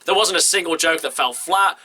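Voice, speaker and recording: A man's voice: on the high side, sharp tone. A young man with a stuffy nose from a cold, giving a chatty, enthusiastic film review as a vlog host. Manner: chatty and enthusiastic, quick run-on sentences. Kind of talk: casual movie review to camera.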